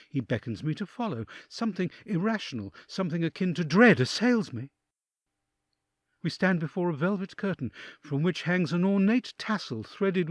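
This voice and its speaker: A man's voice: fairly high pitch, no echo, clean studio sound. A man playing an awed, anxious envoy who narrates a dramatic audio drama in the first person. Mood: tense, dreading.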